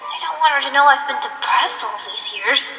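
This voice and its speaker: A woman acts a tough, cool griffon girl for a cartoon audio drama. The delivery is casual and guarded with a softer side underneath, and music plays behind it. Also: sits high, fairly quick. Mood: cool, downcast.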